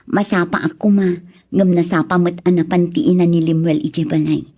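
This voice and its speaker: A woman's voice: fairly low pitch, steady tone, normal speed, dark tone. A woman with an Ilocano accent playing an evasive, guilty married woman with a hesitant, pleading manner in a radio drama. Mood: coy, cautious. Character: evasive, guilty married woman, coy with lover, meek before father.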